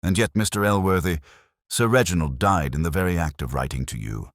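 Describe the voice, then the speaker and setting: A man voicing a calm, incisive consulting detective in a mystery audiobook, with a crisp, deliberate manner. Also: low voice, no room sound, clean studio sound. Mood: probing, thoughtful.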